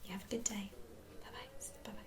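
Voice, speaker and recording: A British woman whispering softly and breathily in an ASMR roleplay, gently reassuring a customer like a receptionist. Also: medium pitch, level tone, fast, a crowd in the background. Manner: gentle, reassuring customer-service patter with endearments. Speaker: ASMR performer playing a club receptionist.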